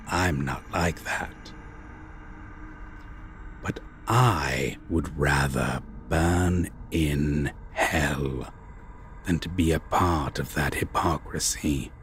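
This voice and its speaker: A man playing a bitter, sardonic fallen angel turned demon in a dramatic audio roleplay, speaking deliberately with drawn-out pauses. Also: very deep voice, a bit bright, slight background noise, exaggerated voice. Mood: resolute, disdainful.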